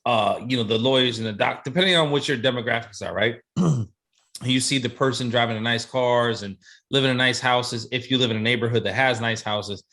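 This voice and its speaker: Mid-pitched man, casual conversational commentary. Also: fairly quick, bright, forceful tone.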